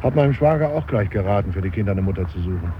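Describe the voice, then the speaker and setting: A male actor as a blunt, grumbling mourner in a radio play, with a low voice. He chats in broken-off, opinionated sentences, on a slightly noisy, dull recording with outdoor ambience. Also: expressive, very fast, close to an everyday voice. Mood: grave, chatty. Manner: chatty, blunt, breaking off sentences. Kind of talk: naturalistic radio play dialogue. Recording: dull 1970s radio, faint outdoor noise.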